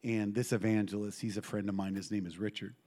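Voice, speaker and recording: A middle-aged man preaching to a congregation in a church, telling a personal story with lively call-and-response. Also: on the low side, fast talker. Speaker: pastor.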